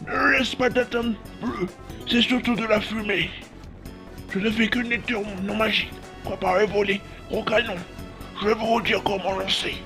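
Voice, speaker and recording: A man's voice: high-pitched, steady tone, normal speed, gravelly. A man voicing a bossy technical adviser who instructs cartoon pilots in a comic fan dub. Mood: commanding. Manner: instructing, commanding. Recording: music behind the voice.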